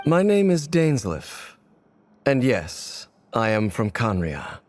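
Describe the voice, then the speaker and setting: A man playing a secretive, ancient masked wanderer in a video game, measured, solemn and guarded, with a gravelly voice. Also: mid-range voice.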